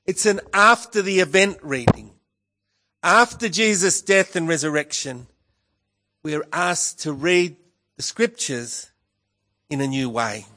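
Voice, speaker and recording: A man preaching with a croaky voice that sits a touch high. He takes his time, letting each phrase land and lifting his pitch to stress key words. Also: sharp tone. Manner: slow, measured preaching with emphatic rises. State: hoarse, croaky voice with a cough. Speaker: pastor. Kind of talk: sermon.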